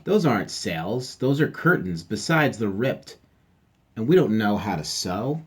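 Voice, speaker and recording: A man voicing a bored, reluctant male dog, slow and deadpan in a low voice. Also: slightly rough, heavily acted. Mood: grumbling.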